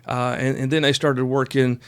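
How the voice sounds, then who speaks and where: A man's voice: medium pitch, flat delivery, moderate pace, smooth, no room sound. An American man of about fifty hosting a podcast solo, talking casually in a folksy, rambling, reassuring way. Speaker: podcast host.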